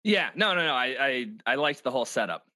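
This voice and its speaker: A man with a bright, lively voice in casual conversation. He thinks aloud hesitantly as he reflects on reading a script, his pitch moving freely. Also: fast. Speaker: script reader. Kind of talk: casual chat.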